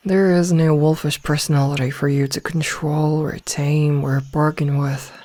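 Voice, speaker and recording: A woman playing a gentle, motherly werewolf in a soft ASMR roleplay, soothing and reassuring as she guides a newly turned packmate. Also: sits low, steady tone, moderate pace, no room sound, close to an everyday voice. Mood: calm, explaining.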